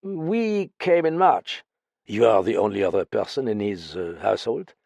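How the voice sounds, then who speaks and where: A man's voice: lowish voice, sing-song, moderate pace, creaky, darkish tone, no room sound, heavily acted. A man voicing a lanky, drunk former officer who talks with pompous dignity and vague, blustering self-importance. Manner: slurred dignity, giggling, blustering.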